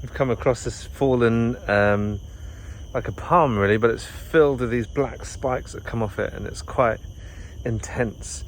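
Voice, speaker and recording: A man in his thirties from the UK, chatting in a relaxed, rambling way during a family outing vlog outdoors. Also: medium pitch, measured pace, a little hiss or hum. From United Kingdom. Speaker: vlogger. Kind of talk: casual travel vlog chat. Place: outdoors on a nature trail.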